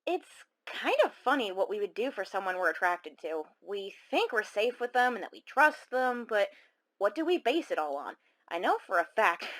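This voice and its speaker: A woman's voice: medium pitch, fairly bright tone, lightly acted. A woman playing a self-conscious, rueful caller who tells her eerie story in a confiding, slightly rambling way. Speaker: caller on a horror radio show. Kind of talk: conversational dramatic monologue.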